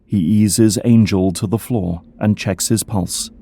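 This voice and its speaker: A man's voice: lowish voice, steady tone, a little slow, creaky, mellow. A man reading scripted, dramatic third-person narration for an audio drama as its narrator.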